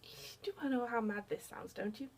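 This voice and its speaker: A woman's voice: a little high, normal speed, lightly acted. A woman acting a curious young PhD student in an audio story, hesitant, rambling and apologetic. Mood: incredulous.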